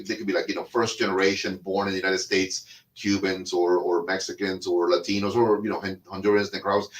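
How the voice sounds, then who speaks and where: A middle-aged Cuban-born man with a fairly low voice talks about cigars in an easygoing, rambling way. He speaks Spanish as his first language and fills his talk with "uh" and "you know" while he puffs on a cigar. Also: a bit bright, rough recording. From Cuba. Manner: rambling and chatty, with many fillers and restarts; smoking a cigar while talking. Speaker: cigar podcast host. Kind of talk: casual monologue.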